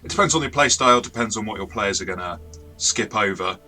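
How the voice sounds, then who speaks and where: A man's voice: lowish voice, fast, sharp tone, soft background music. A man co-hosting a casual review chat as the game master, chatty and stammering on restarts. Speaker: podcast co-host, game master.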